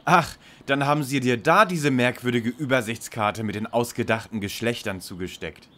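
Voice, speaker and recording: A man's voice: mid-range voice, normal speed, bright, forceful tone, natural voice. A man playing a formal, know-it-all amateur detective in a parody audio play, speaking precisely and politely. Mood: matter-of-fact. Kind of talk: parody audio drama acting.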